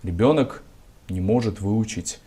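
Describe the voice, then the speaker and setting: A young man from Gagauzia, a civic activist, answering interview questions in a measured, argumentative way with lots of filler words. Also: on the low side, mellow, breathy edge. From Gagauzia, Moldova.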